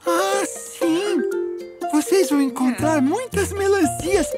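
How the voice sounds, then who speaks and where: Slow, friendly Brazilian cartoon animal. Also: female voice, very high voice. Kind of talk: children's cartoon dubbing. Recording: loud children's music behind.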